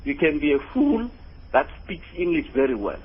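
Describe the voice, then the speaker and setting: A man's voice: fairly high pitch, big pitch swings, normal speed, slightly rough, muffled recording. A South African man calling in to a radio show, giving his earnest opinion in an emphatic way, repeating words as he goes. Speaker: radio phone-in caller.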